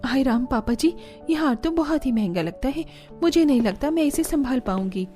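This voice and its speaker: A woman's voice: sits high, expressive, moderate pace, dark tone, faint music behind. A woman voicing a meek, respectful young village daughter-in-law in a Hindi cartoon family drama. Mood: humble.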